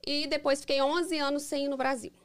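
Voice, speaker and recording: A woman from the United States chats as a podcast guest, with a voice somewhat higher than most women's. She talks in a relaxed, informal way, with a touch of nerves. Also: fairly quick. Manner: relaxed, informal, a bit nervous. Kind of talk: casual interview chat.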